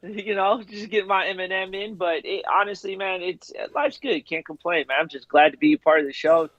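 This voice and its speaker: High-voiced laid-back man on a dull call line. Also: normal speed, no room sound. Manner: laid-back, relaxed slang, repeats words. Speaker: co-host. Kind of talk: casual sports talk. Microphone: video call.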